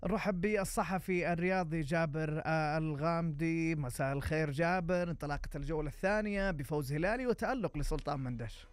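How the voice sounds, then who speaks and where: A Saudi man co-hosting live football talk and news, often pausing to search for his words. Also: fairly high pitch, smooth, dry recording. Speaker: sports radio co-host.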